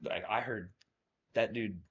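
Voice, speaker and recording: A man's voice: fairly low pitch, fairly even intonation, measured pace, a bit bright, breathy edge, muffled recording. A young man from New Jersey telling stories as a guest in a casual interview, rambling with lots of fillers and laughing often. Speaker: guest, former college wrestler.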